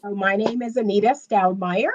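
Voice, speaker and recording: A woman's voice: mid-range voice, steady tone, brisk, a little mellow, muffled recording. A woman who is a therapist and relationship coach, a guest on a talk show, composed and plain-spoken.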